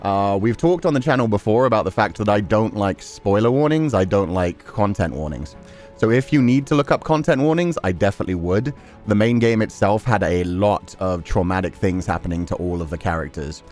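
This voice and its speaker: Low-pitched man chatting over music. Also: expressive, fairly quick, darkish tone. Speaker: game streamer, host. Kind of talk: casual livestream chat to viewers.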